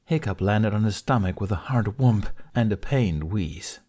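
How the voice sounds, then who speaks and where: A man narrates a fantasy fan fiction story in a steady, expressive reading. His voice sits low, and he keeps a calm storyteller's pace through the action. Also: moderate pace. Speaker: podfic reader. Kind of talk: fanfiction audiobook narration.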